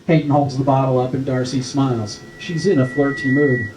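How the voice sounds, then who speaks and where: A man's voice: medium pitch, fairly even intonation, moderate pace. A man reading screenplay stage directions aloud as the narrator at a table read. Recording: roomy echo with clear background noise.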